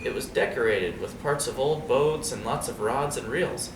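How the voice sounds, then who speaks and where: A man reading a storybook aloud to young listeners in an expressive, dramatic way. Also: mid-range voice, moderate pace. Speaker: storybook reader. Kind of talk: animated read-aloud story with asides to children. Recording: roomy, distant mic, noisy background.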